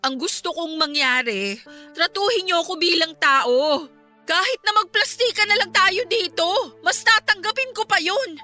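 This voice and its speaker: A woman's voice: very high voice, expressive, normal speed, sharp tone, faint music behind, heavily acted. A woman playing a hurt, proud young wife and mother in a Filipino radio drama, emotional and pleading with indignation. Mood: hurt, reproachful.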